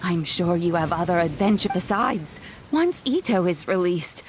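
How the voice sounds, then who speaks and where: An actress playing a kindly old grandmother in a video game, speaking in a measured, fond and gently admonishing way. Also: lowish voice, expressive, slight background noise, natural voice, rough recording. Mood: reassuring. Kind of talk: scripted game character dialogue.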